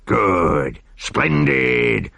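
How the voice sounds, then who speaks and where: A man's voice: medium pitch, big pitch swings, very slow, gravelly, bright, forceful tone, no room sound, exaggerated voice. A man voicing a greedy pirate captain who blusters, gloats and pleads frantically. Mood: gloating.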